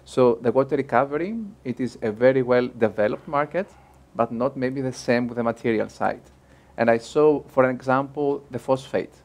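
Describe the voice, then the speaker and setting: A young adult man moderates a panel discussion, asking careful, probing questions. He speaks from a table in a bright room by large windows. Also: mid-range voice, moderate pace.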